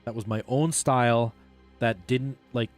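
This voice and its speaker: A man chatting casually and a bit haltingly while he streams a game, thinking aloud in an informal way. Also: medium pitch, steady tone. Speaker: live streamer. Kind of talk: casual chat while gaming. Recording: music playing behind the voice.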